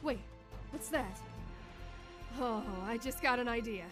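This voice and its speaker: A woman's voice: fairly high pitch, slow, music in the background. A woman playing a tough, determined, wry young soldier in a radio drama.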